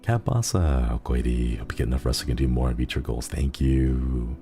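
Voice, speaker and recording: A man's voice: a bass voice, fairly quick, mellow, soft background music. A man chatting casually on a livestream, reading viewer messages and rambling with frequent giggles. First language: Xenokuni (in-fiction language). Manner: rambling and easygoing, giggles often.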